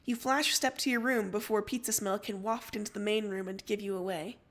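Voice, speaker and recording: A woman reading a story aloud as narrator, in an expressive storytelling style. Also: mid-range voice, fairly quick. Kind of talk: expressive fiction reading on a livestream.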